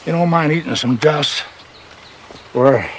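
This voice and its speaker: An actor playing an easygoing middle-aged outlaw mentor in a western series, with gravelly voice and folksy old-time phrasing. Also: male voice, fairly high pitch, unhurried, a little hiss or hum, natural voice. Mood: wry, reminiscing. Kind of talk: screen acting, casual western dialogue. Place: outdoors by a river.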